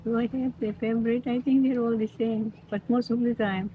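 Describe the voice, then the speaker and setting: A woman in her nineties from the Philippines, speaking with a Filipino accent and Pampango as her first language, rambling gently and laughing easily as she recalls her life. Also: a little high, fairly even intonation, brisk. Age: 90s. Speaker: interviewee. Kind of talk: reminiscing in casual chat. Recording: lo-fi with background noise.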